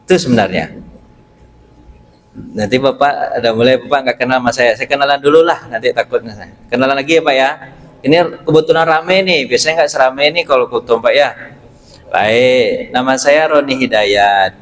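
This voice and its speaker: A man's voice: medium pitch, normal speed. A middle-aged man with a Pariaman Minangkabau accent giving a short mosque sermon, joking and quizzing the congregation with questions. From Pariaman, West Sumatra, Indonesia. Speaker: preacher and university lecturer.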